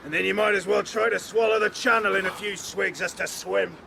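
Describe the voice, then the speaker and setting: An actor playing a gruff, fatalistic seaman in a period audio drama, blunt in his way of talking. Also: high voice, steady tone, a little fast, noisy background. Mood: grim, fatalistic. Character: gruff, fatalistic seafaring man of period coast.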